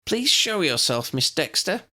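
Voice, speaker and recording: A man voicing a young woman who speaks with calm, powerful, uncanny certainty. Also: a little high, a little slow, voice pushed up, full character voice. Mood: calm, commanding.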